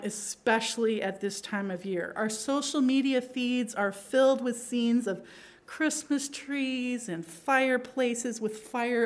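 A middle-aged woman who grew up in Pakistan gives a sermon in a conversational style and often checks in with the listeners. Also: on the high side. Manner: conversational, rhetorical tags. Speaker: preacher.

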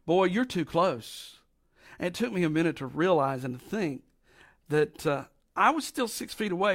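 Man, pastor, raspy voice, folksy sermon, slightly high pitch. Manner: folksy, emphatic preaching with slow drawn-out stresses. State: raspy voice from allergies.

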